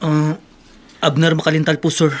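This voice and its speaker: A man's voice: fairly high pitch, completely flat delivery, moderate pace, close to an everyday voice. A man playing a humble but stubborn young job applicant in a radio drama, pleading and emotional. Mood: tearful begging. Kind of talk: Tagalog radio drama acting.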